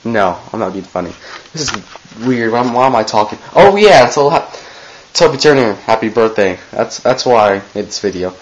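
A man's voice: fairly low pitch, very animated, normal speed, dull audio. A teenage boy recording a rambling, goofy birthday message on a webcam in his small bedroom. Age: teens. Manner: goofy, rambling, self-interrupting. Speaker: vlogger. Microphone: webcam close to face.

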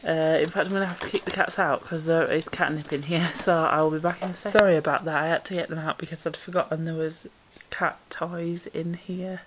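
A woman's voice: deep voice, steady tone, a little fast, a little hiss or hum. A woman chatting casually as she unboxes pet supplies at home, a little congested from a cold and rambling with ums. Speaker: pet vlogger. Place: indoors at home, camera pointed down into a box.

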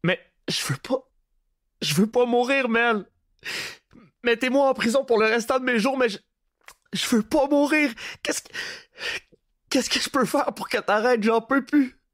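A man's voice: mid-range voice, normal speed, few pauses, no echo, clean studio sound, pitched above the performer's own voice, lightly acted. A man voicing a terrified, exhausted captive man who begs for his life. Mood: panicked pleading. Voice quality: gravelly. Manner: sobbing, panicked and begging.

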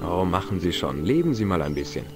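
Low-voiced, wry young adventurer. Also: male voice, very animated, quick pace. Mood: excited, urging. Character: easygoing, curious young male adventurer. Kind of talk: video game voice acting. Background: game music.

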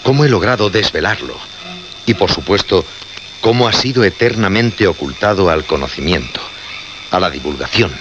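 A man with a Castilian accent acting the solemn, insistent host of an occult show in a radio drama, phrasing deliberately and emphatically. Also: low voice, lively intonation. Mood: assured, grave. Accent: Castilian Spanish. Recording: noisy, dull old radio recording.

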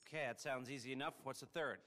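An actor playing a dry, hesitant middle-aged criminal heist planner in a video game. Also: male voice, mid-range voice, quick pace, sharp tone, in a large hall, a little hiss or hum, lightly acted. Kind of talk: video game cutscene acting.

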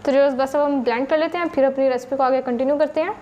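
Woman, pakistani urdu accent, high voice, patient step-by-step cooking narration, faint hiss behind. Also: steady tone, fast, a little mellow, muffled recording. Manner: patient, explanatory, addresses viewers directly. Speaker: cooking channel host.

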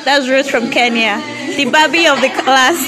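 A woman's voice: sits high, moderate pace. A young woman vlogging casually and playfully as she introduces classmates, giggly and teasing. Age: young adult. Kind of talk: casual, playful chat among friends. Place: busy indoor school lobby. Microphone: handheld phone. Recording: loud crowd chatter around the voice. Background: loud chatter of classmates.

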